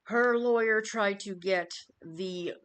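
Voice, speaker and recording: Slow-paced woman giving a YouTube update. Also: medium pitch, a bit bright, clean tone. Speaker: YouTube commentator. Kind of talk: informal news update to viewers.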